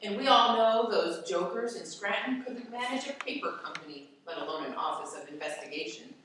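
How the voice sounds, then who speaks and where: A woman's voice: mid-range voice, lively intonation, a little fast, close to an everyday voice. A woman playing a brash, bumbling FBI agent in a comic radio play, officious and griping. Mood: exasperated. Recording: echoey room, distant mic, faint noise.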